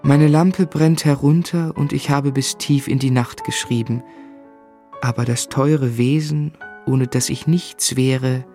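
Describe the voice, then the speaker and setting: An actor playing a tender, reflective novelist looking back on his life in a measured, earnest radio drama delivery. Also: mid-range voice, fairly even intonation, warm tone. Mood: serene, nostalgic. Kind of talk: literary radio drama narration and dialogue. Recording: studio radio play with music underneath.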